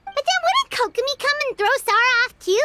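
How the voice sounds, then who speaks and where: A woman voicing a small, chatty, cheeky fairy companion in a game, squeaky and childlike, speaking of herself in the third person. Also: sing-song, brisk, heavy background noise. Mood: chatty, curious. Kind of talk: animated game dialogue.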